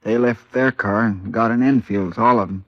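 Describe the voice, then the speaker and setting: An actor playing a shaken, deferential robbery victim, hesitant and subdued, in an old radio drama. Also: male voice, lowish voice, steady tone, normal speed, no room sound, close to an everyday voice. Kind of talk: 1950s radio drama acting. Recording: dull vintage radio recording.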